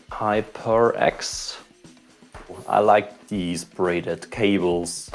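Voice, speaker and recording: A man narrates an unboxing in a low, unhurried voice. He speaks as a tech channel host and keeps his remarks calm and short. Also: creaky. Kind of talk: unboxing voice-over.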